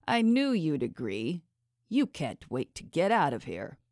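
A woman voicing a gruff, grumbling male stone carver who mutters drily to a baby in a fantasy audiobook. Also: sits low, wildly swooping pitch, unhurried, a little mellow, no echo, heavily acted. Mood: wry, resigned. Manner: muttering, dry.

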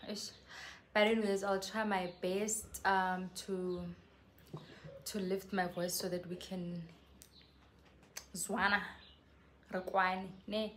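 A young woman from South Africa with a soft voice, chatting earnestly to her viewers on a casual vlog. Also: mid-range voice, flat delivery, takes it slowly, pauses often, a little hiss or hum. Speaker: YouTuber. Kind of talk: casual vlog chat to camera. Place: covered patio outside a house.

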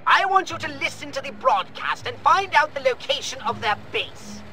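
A man's voice: extremely high pitch, animated, normal speed, sharp tone, heavily acted, rough recording. An actor playing a pompous, theatrical male alien commander who speaks as a hologram in a video game. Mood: impatient. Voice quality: haughty, theatrical. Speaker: superior officer. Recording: game audio with faint music.

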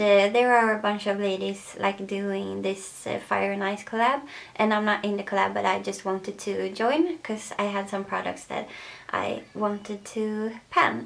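A woman's voice: medium pitch, creaky. A young woman from Sweden with a Swedish accent, chatting casually to camera about makeup, hesitant and full of fillers, in a bedroom. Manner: hesitant, many fillers, soft laughs. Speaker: beauty vlogger. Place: bedroom, indoors.

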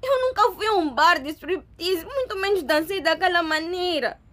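A despairing young woman in a radio drama. Her voice is high and plaintive, close to tears. She sounds wronged and beaten down. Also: expressive, normal speed, a bit bright. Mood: hurt, pleading. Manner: tearful, plaintive. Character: despairing young woman, a wronged cousin who lost everything.

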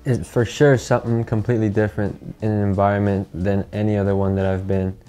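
A man's voice: lowish voice, flat delivery, a little fast, mellow, clear, resonant tone, soft background music. A young man from California answering interview questions hesitantly, filling with many ums and repeats, on a lapel mic. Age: young adult. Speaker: pro skateboarder.

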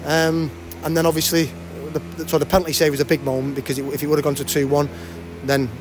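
A man in his forties, a football manager, giving a post-match interview at a stadium with a handheld mic. He talks fast and often restarts and repeats words. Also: a little high, level tone, background noise. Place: outdoors by a cafe banner at a stadium.

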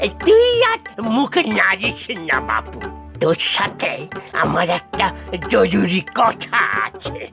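A woman's voice: mid-range voice, wildly swooping pitch, slow, gravelly, a bit bright, faint music behind, full character voice. A woman voicing a cranky, mischievous, hard-of-hearing old grandparent in a comic Bengali cartoon, scolding and exclaiming in a muddled way. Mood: scheming, stubborn.

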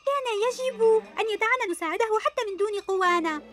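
A woman's voice: very high-pitched, quick pace. A woman voicing a level-headed young girl superhero in a cartoon, earnest in tone. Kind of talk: animated series dub. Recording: music under dialogue.

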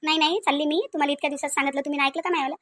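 A woman's voice: sits high, steady tone, very fast, a bit bright. A woman with a Varhadi Marathi accent playing a stubborn, aggrieved young daughter-in-law in a cartoon family drama, complaining insistently and repeating herself. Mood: angry, aggrieved.

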